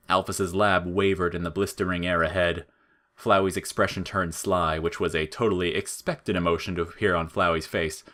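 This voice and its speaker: Low-voiced man narrating fiction. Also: fairly bright tone.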